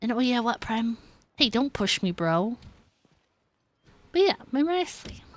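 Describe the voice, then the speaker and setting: A woman's voice: on the high side, sing-song, unhurried, dry recording. A woman livestreaming a video game, chatting casually and informally with viewers in a giggly, easygoing way. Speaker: live streamer. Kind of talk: casual gaming livestream chat.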